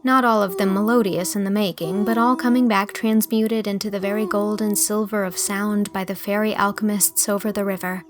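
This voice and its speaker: A woman's voice: medium pitch, steady tone, a little fast, few pauses. A woman reading scripted storybook narration as the narrator of an audiobook.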